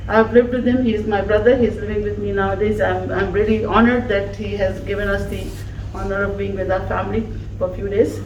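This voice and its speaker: A woman whose first language is Urdu delivers an emphatic, affectionate farewell tribute at an easy, deliberate pace. She is recorded from across an echoey office room with faint background noise. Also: mid-range voice, little pitch movement, far from the mic. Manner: slow, warm, emphatic, laughing between phrases. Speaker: speaker at a farewell gathering. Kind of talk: heartfelt tribute speech.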